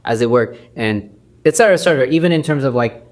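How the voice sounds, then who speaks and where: Man, podcast guest, mid-pitch, chatty and rambling with lots of fillers. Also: expressive, fast. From United States. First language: Mandarin. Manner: rambling, many fillers and restarts. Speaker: actor guest. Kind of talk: casual interview talk.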